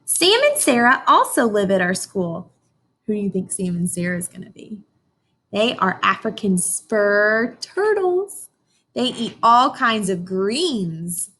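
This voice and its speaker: A woman teacher reading a picture book aloud to young children, expressive, often pausing to question her listeners directly. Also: mid-range voice, slightly rough, poor audio. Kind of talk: informational read-aloud lesson for young children.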